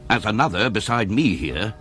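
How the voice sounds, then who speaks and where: A British man playing a shrewd, proud city governor in a radio drama, with a forceful, rhetorical delivery. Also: on the low side, expressive, normal speed, faint music behind. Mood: wary challenge.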